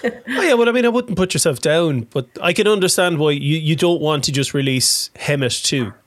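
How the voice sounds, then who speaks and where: A man's voice: fairly high pitch, lively intonation, normal speed, dry recording, clean studio sound. A man hosting a casual interview, a bit chesty from a tickle in his throat, chatty and tripping over repeated words. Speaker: radio interview host.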